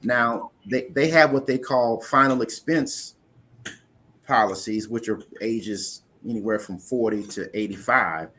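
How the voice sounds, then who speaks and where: A man's voice: mid-range voice, a little slow, bright, forceful tone. A man with a mid-range voice walks through financial ideas in an earnest, conversational manner. He circles back and repeats words as he restarts sentences, speaking plainly to the listener. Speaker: insurance investment guest. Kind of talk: conversational interview explaining.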